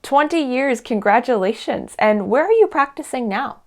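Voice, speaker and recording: A woman's voice: sits high, animated, moderate pace, darkish tone. A woman hosting the show, introducing and questioning her guest in an upbeat, welcoming way. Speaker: show host. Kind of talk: scripted interview introduction.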